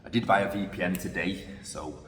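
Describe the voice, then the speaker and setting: Male vlogger, deep and reflective, casual phone recording in a roomy space with faint background noise. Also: steady tone, a little fast. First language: English. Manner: reflective, thinking aloud with restarts. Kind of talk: casual vlog talking to camera. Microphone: phone camera. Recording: echoey room with long reverb.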